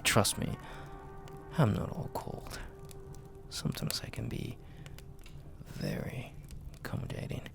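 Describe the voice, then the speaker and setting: Mafia boss for audio roleplay, male actor: dominant and seductive man, slow, swooping delivery in a breathy gravelly murmur, teasing but in command. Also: mid-range voice, wildly swooping pitch, over music, full character voice. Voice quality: gravelly, breathy half-whisper.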